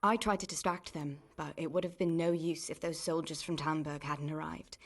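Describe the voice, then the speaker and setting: A woman acting a caring, world-weary young miller's niece in a medieval video game drama, plain-spoken and gently chiding. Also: sits low, steady tone, moderate pace. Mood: calm, caring.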